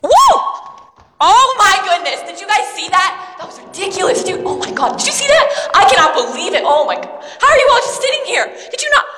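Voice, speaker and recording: A woman performing a dramatic monologue as an excitable, self-doubting young city dweller, telling the story breathlessly with slangy fillers. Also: fairly high pitch, moderate pace, slight background noise. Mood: amazed. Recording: long reverb of a large hall.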